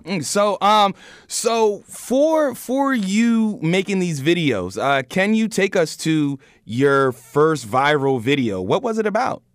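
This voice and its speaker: A man hosts an interview podcast in a high-pitched voice. His delivery is halting, with words repeated as he works his way into each question. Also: big pitch swings, dry recording, studio quality. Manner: halting, with frequent stammered repetitions.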